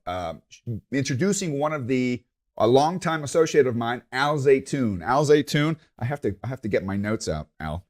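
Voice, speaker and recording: A man hosting his own podcast, rambling and hesitant, with many fillers and restarts. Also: mid-range voice. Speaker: podcast host. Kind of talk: casual interview hosting.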